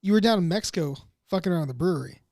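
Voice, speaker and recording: A man's voice: high voice, animated, rapid-fire, creaky, darkish tone, dry recording, studio quality. A man bantering crudely with friends on a podcast, swearing freely and cracking jokes. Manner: crude, profane, joking. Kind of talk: casual banter.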